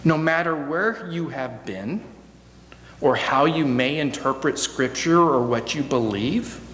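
A middle-aged American man giving a reflective sermon as guest preacher, measured, with deliberate pauses and repeated phrases, at a church pulpit microphone. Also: fairly high pitch, a bit bright. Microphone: gooseneck pulpit microphone. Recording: echoey hall, noticeable hum.

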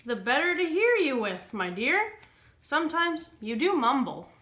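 Woman as a high, swooping wolf posing as granny. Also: very animated, measured pace, sharp tone, echoey room, exaggerated voice. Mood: falsely sweet. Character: wolf posing as a sweet old grandmother.

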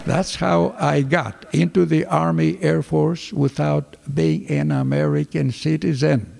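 Old greek guy telling war stories, husky gravelly voice, echoey office. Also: fairly high pitch, unhurried. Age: older. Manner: lively storyteller, acts out dialogue with hand gestures. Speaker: veteran fighter pilot. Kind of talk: recounting war memories. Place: office with flags, indoors. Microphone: desk microphones in front.

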